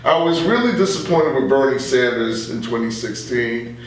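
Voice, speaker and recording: A man's voice: medium pitch, animated, moderate pace. Heavily echoing room, distant mic, older man, casual discussion, opinionated. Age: older, 60s or above.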